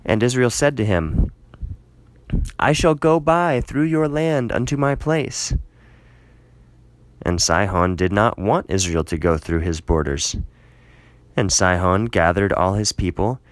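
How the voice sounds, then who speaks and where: A man narrating scripture aloud in a measured, dramatic reading style, phrasing deliberately. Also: lowish voice, no room sound.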